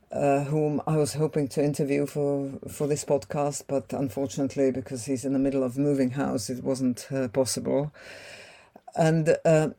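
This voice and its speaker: A woman in her sixties whose first language is Slovak, answering interview questions in a thoughtful way with frequent pauses. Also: a bass voice, dark tone. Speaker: interview guest, translator.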